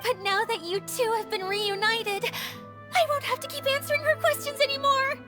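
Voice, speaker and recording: A woman's voice: very high voice, level tone, normal speed. A woman voicing a cute, cheerful mascot girl in a video game, sweet, bubbly and soothing. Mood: happy. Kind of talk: video game cutscene acting. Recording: clear music behind the voice.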